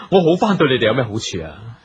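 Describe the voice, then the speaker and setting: An actor playing a bitter, suspicious eldest brother, a wheelchair-bound company boss, scornful and domineering in a radio drama. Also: male voice, mid-range voice, a little hiss or hum, lightly acted. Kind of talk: Cantonese radio drama acting. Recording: dull radio drama recording, music behind.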